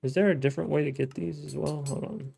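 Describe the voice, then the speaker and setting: A man's voice: a little high, steady tone, fast, gravelly, warm tone, slightly rough. A man hosting a gameplay video, talking casually to himself as he plays, thinking aloud with restarts. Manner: chatty, thinking aloud, frequent restarts and self-talk. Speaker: gaming let's-play host.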